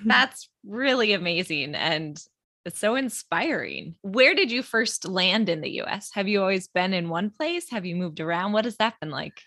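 A woman's voice: medium pitch, sing-song, normal speed, sharp tone, no room sound, studio quality. A woman hosting an interview, upbeat and encouraging toward her guest. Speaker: podcast host.

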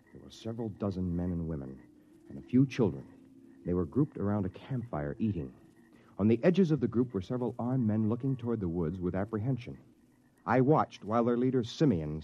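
Eager stranded earthman, swingy expressive voice, old radio drama, dull hissy sound. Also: sits low. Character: eager, friendly castaway Earthman narrating his own story. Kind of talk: 1950s radio drama acting. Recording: dull, noisy vintage radio recording.

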